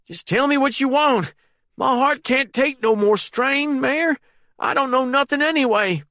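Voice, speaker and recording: Pleading old man, shrill and panicked, close dry read. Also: unhurried, sharp tone, no echo, exaggerated voice. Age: older. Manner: whimpering, pleading, frantic.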